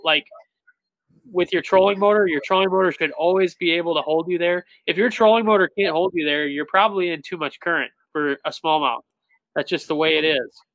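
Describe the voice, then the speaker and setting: A man in his thirties, a tournament bass angler, explaining things in a relaxed way full of "like" fillers over a video call. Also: no room sound, poor audio. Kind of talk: casual interview talk. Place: indoor room with shelves of tackle. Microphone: webcam on a video call.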